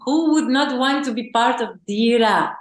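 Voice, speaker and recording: A woman's voice: on the high side, normal speed, echoey room. A woman who is a spiritual teacher, talking enthusiastically as a podcast guest, rambling with frequent restarts. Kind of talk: enthusiastic interview talk.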